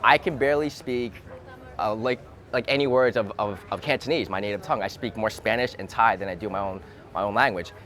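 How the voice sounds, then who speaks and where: Low-voiced man musing with many restarts. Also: brisk, a bit bright. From United States. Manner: hesitant, repeats words while thinking aloud. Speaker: interviewee. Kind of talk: thoughtful casual conversation. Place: outdoors in a city.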